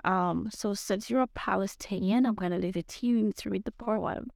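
A woman's voice: medium pitch, normal speed, gravelly, a little mellow, dry recording, studio quality. Hesitant young woman podcast host, French accent. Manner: hesitant, many fillers and restarts. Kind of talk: casual podcast chat.

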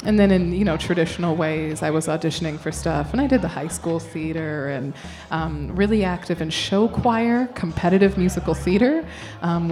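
A woman's voice: on the low side, darkish tone. A young woman, an actor guest, talking casually in a radio interview and laughing often. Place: storefront music bar.

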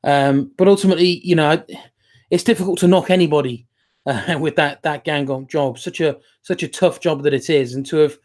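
A man giving football analysis in a measured, hesitant way, filling pauses as he goes. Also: a little high, fairly even intonation, a little mellow.

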